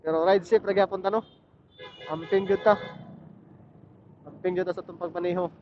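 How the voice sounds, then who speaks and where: A man's voice: high-pitched, fairly even intonation, a little fast. A Filipino man with a Bisaya accent rambles casually as a motorcycle vlogger, repeating himself and checking in with short question tags. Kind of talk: casual rambling chat while riding. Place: outdoors on a motorcycle at night in traffic. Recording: muffled helmet intercom with road noise. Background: faint vehicle and road noise.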